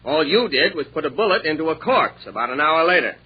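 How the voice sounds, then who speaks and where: A no-nonsense police lieutenant in an old radio crime play. The male voice is a little high and husky, world-weary in manner, on a dull vintage recording. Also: big pitch swings, fast, full character voice. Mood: matter-of-fact. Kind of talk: 1940s radio drama acting.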